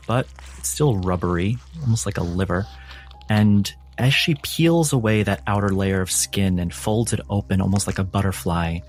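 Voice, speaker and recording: A man narrating a tabletop roleplaying game as game master, in descriptive, measured storytelling. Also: on the low side, a little mellow.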